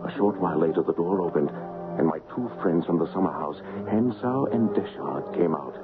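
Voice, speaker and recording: A man's voice: low voice, fairly quick, music in the background, natural voice. A man playing a brave, resolute swordsman hero who tells his adventure in an earnest, dramatic radio drama style, on an old narrow-band recording. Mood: suspenseful narration. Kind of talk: radio drama acting with first-person narration.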